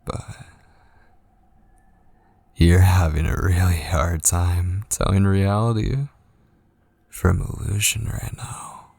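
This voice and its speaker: A man playing an obsessive, possessive kidnapper and coaxing lover in an intimate, whispery ASMR roleplay, husky and close to the mic. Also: sits low, little pitch movement, a little mellow, no room sound, exaggerated voice. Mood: probing, soothing. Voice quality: husky, breathy, often dropping into whispers. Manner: slow, coaxing, possessive endearments. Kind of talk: intimate ASMR roleplay, scripted dramatic monologue. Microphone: close studio mic, dry.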